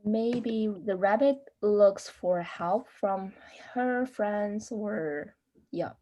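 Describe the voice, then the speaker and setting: A woman answering questions as a participant in a lesson, in a hesitant, halting way. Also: medium pitch, dark tone. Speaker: read-aloud participant.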